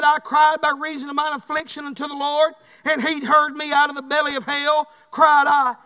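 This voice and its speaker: A man's voice: very high voice, sharp tone. A man with a Southern US accent preaching a fervent, folksy evangelical sermon, exhorting a congregation in punchy phrases. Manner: exhortatory, folksy, repeatedly punctuating phrases with "hey". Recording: echoey hall with long reverb.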